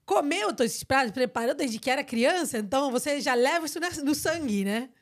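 A woman's voice: high voice, lively intonation, fairly quick. A woman hosting a podcast, asking questions with effusive enthusiasm. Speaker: podcast host. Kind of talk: podcast interview.

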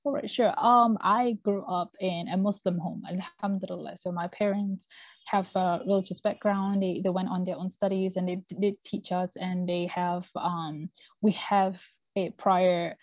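A woman from Singapore with a Singaporean accent, talking casually as an interview guest, often halting to find her words. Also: lowish voice. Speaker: podcast guest, family trauma coach. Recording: roomy, slightly dull audio.

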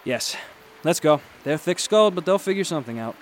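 A man's voice: on the high side, lively intonation, brisk, slight background noise, close to an everyday voice. Man acting a wry, cryptic adventurer. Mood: calm, cryptic. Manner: philosophical, teasing, unruffled. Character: cryptic, wry, confident male adventurer and mentor. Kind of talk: radio drama acting. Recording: music behind the voice.

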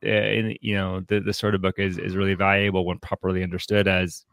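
Low-pitched, bookish man hosting a podcast. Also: level tone, gravelly, a bit bright, no room sound, studio quality. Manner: halting, thoughtful, bookish phrasing. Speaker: podcast host. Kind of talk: casual literary discussion.

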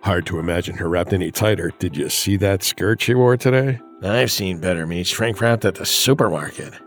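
An actor playing a sardonic, gossipy male corporate colleague with a dry, brisk manner in a campy audio drama. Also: sits low, soft background music, close to an everyday voice. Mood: sardonic, sneaky.

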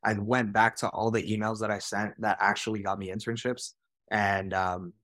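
A man in his twenties, a podcast guest, telling his story in a casual, quick way, often adding "like" and "right?". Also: lowish voice, level tone, a bit bright, no echo, clean studio sound. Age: 20s. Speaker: podcast guest, real estate director. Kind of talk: conversational storytelling.

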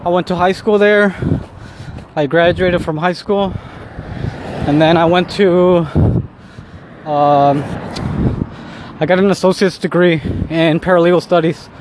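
A man's voice: sits high, steady tone, unhurried, long pauses. Rambling man in his thirties, walking outside. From Mexico, raised in Southern California. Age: 30s. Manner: rambling, frequent fillers and restarts, self-deprecating. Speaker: vlogger. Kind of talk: casual walking monologue. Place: outdoors on a street, walking home. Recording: outdoor street ambience with traffic. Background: faint street and traffic noise.

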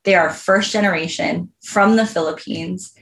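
A woman's voice: fairly low pitch, normal speed, creaky, fairly bright tone. An American woman giving an earnest, heartfelt interview answer, telling stories conversationally with plenty of "like" and "you know". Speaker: interview guest, home loan professional. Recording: roomy echo on the voice.

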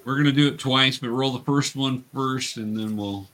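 A man's voice: mid-range voice, normal speed, bright, forceful tone, a little hiss or hum. A man acting as game master, narrating a tabletop game and explaining options to the players.

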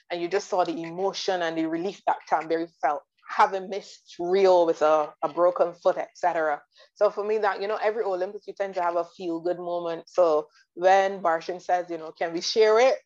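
A woman from Barbados chatting about sports in detail, enthusiastic and quick to laugh. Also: lowish voice, darkish tone. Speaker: guest commentator. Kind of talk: casual sports chat. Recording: dull lo-fi audio.

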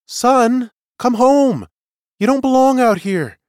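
An anxious little creature mother performed by a male narrator pleads and scolds insistently. The storybook voice is pitched high and carries in a dry recording. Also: expressive, slow pace, a little mellow, no echo, full character voice. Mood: pleading, distressed.

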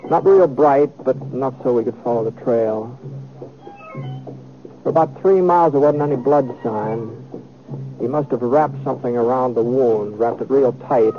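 A middle-aged American man playing a steady, laconic cowboy gunman in a 1950s radio western, speaking in a measured, folksy way. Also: medium pitch, lightly acted. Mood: grim, reflective. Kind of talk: 1950s radio western acting and first-person narration. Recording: tinny, noisy old radio recording.

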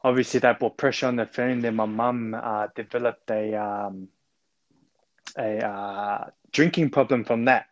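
A man's voice: mid-range voice, steady tone, moderate pace, no room sound, highs cut off. A man in his twenties with an Australian accent, a podcast guest on a remote call, telling his story earnestly with many ums and restarts. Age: 20s.

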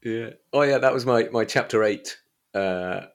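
A man hosting a podcast, talking casually about books in a hesitant, halting way. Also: medium pitch. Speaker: podcast host. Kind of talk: casual book discussion chat.

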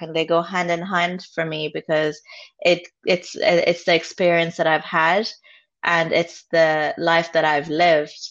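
A woman's voice: fairly low pitch, a bit bright. An Irish woman speaking as a podcast guest, talking earnestly and thinking aloud with frequent restarts. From Ireland. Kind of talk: reflective personal conversation. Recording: dull lo-fi sound.

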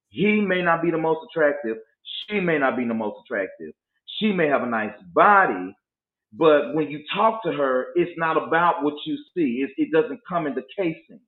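Man, forties, emphatic, preachy, casual chat, echoey, tinny phone-like sound. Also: medium pitch. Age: 40s. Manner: preachy, emphatic, slips into mock voices to make a point. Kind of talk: casual podcast chat about dating.

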